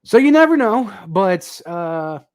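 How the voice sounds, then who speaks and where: A young man doing casual live football commentary, thinking out loud with lots of ums and uhs, his voice a little dry and raspy. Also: sits high, very animated, takes it slowly. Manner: rambling, thinking aloud with many ums and uhs. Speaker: livestream host commentating football.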